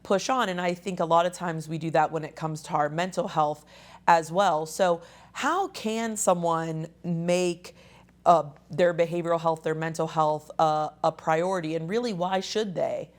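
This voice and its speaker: A woman hosting a health talk show, her voice raspy and a little hoarse, speaking conversationally with frequent fillers. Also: lowish voice, steady tone, a little slow. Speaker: host.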